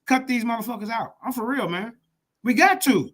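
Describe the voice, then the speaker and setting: A man hosting a heated casual chat, loud, profane and confrontational. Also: very high-pitched, lively intonation, fairly quick.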